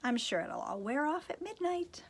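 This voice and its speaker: A woman's voice: high-pitched, very animated, normal speed, gravelly, pitched above the performer's own voice, heavily acted. A woman voicing a bumbling male fairy whose spells go wrong, chanting them in a sing-song way and getting flustered.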